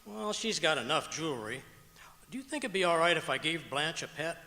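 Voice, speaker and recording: A man's voice: a little high, expressive, a little fast, pushed and bright. A man playing a fussy, indecisive, earnest neighbor husband in old-time radio comedy style. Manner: dithering, earnest. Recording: echoey auditorium stage performance.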